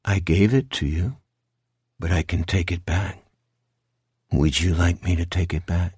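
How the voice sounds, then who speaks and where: A man voicing a cold, taunting shadowy monster in a horror audiobook, speaking slowly and deliberately with menace. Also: deep voice, darkish tone, breathy edge, no echo, clean studio sound, voice pushed down, exaggerated voice. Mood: coaxing menace. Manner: slow, deliberate, coldly commanding.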